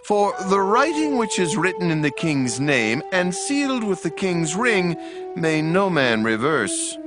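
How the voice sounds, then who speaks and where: A commanding king declaims with regal deliberation. His voice sits high and swings widely in pitch, in the solemn manner of dramatized scripture, with music underneath. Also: sing-song, measured pace. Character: commanding king issuing solemn royal decrees.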